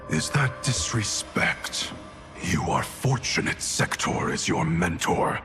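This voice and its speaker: Game villain grandmaster: low and gravelly, stern, ruthless, proud, loud score underneath. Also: male voice, lively intonation, over music. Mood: imperious. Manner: measured, commanding, formal. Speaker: clan grandmaster. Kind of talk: cinematic video game acting.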